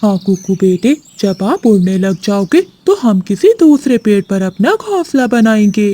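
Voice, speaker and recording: A woman's voice: medium pitch, sing-song, measured pace, warm tone. A woman voicing a loving, eager father sparrow in a cartoon, with an affectionate manner.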